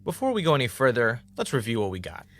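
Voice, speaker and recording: A man's voice: medium pitch, huge pitch swings, fast, fairly bright tone. An actor playing a wry, dramatic man who hosts an investigative podcast, in a conversational audio drama style. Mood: brisk, concerned. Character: male ex reality-show contestant turned investigative podcaster, wry, dramatic. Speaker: podcast host. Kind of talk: naturalistic audio drama acting, conversational narration.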